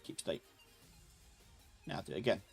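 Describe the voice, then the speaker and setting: A man teaching a live software class, thinking aloud in a casual, informal way as he explains step by step. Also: moderate pace, faint music behind.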